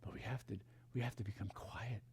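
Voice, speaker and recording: A man speaking as a meditation teacher, reading and explaining spiritual teachings in a hushed, deliberate, contemplative way with dramatic pauses. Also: lowish voice, steady tone, slight background noise.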